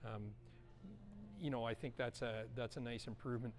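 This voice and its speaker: A middle-aged man talks casually while showing features up close, his pitch sitting in an ordinary middle range. He is relaxed and chatty, with frequent filler sounds. He is recorded on a handheld action camera inside a large indoor showroom. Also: moderate pace, a little hiss or hum. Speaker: vlogger touring a snowmobile dealership. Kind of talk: casual walkthrough presenting.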